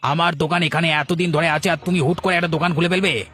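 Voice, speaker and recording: A man's voice: a little high, fairly even intonation, fast, bright, forceful tone, slightly rough. Man doing a grumpy proud village stall owner in a cartoon, curt and lecturing, some music under it. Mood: suspicious, annoyed. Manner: brusque, lecturing. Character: proud, gruff village snack seller guarding his trade. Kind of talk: animated moral story dialogue.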